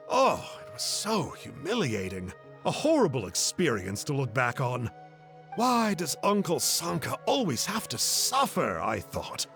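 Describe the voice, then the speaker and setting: A showy uncle figure, high voice, wildly swooping, game voice with faint music behind. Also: male voice, fairly bright tone, heavily acted. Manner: hammy, dramatic storytelling. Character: boastful, theatrical adult male storyteller uncle. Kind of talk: video game voice acting.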